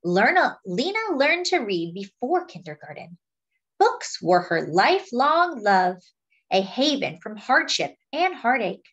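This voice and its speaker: High-pitched, lively woman reading a picture book. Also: a little slow, breathy edge, lo-fi sound. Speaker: story-time reader, teacher to children. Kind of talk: read-aloud of a children's picture book.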